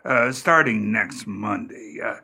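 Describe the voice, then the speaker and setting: An actor playing a fussy, anxious caretaker in a radio play, halting and repeating his words as he talks. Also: fairly low pitch, animated, gravelly, pushed and bright, breathy edge, heavily acted. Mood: nervous, fussy.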